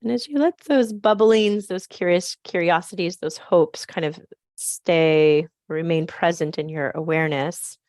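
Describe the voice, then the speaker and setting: A woman with a scratchy, raspy voice, softly leading a slow grounding meditation on a video call. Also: lowish voice, no room sound, studio quality. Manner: soft, slow, gently inviting. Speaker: program facilitator.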